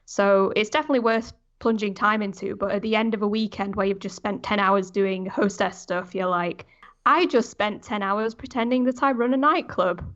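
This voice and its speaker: Female podcast panelist, mid-pitched, casual conversational delivery, slightly muffled lo-fi audio. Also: steady tone, normal speed, fairly bright tone. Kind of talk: casual gaming discussion. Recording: dull, band-limited voice audio.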